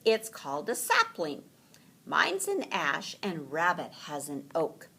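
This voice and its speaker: A woman's voice: deep voice, huge pitch swings, slow, gravelly, bright, forceful tone, husky, heavily acted. Woman voicing a proud little storybook girl beaver. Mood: matter-of-fact. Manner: matter-of-fact, explaining. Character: proud, know-it-all young female beaver.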